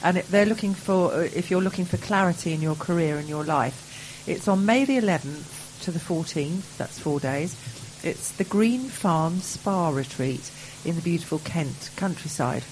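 A woman's voice: lowish voice, animated, normal speed, few pauses, a little mellow, a little hiss or hum, low-quality recording. A woman co-hosting a business radio show from a remote link, chatting and reading out event listings with playful asides. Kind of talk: casual radio chat.

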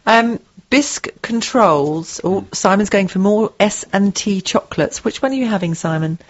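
A woman's voice: medium pitch, animated, normal speed. Woman, radio co-host, chatty, laughs easily, dull recording. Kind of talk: casual chat reading tweets.

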